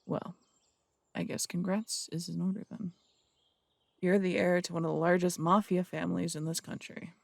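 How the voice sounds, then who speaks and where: Gruff no-nonsense cop in an audio drama, voiced by a man, blunt threats, gravelly fry, fairly high for a man. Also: level tone, moderate pace, no echo. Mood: stern. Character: stern adult male cop who runs the morgue. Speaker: detective.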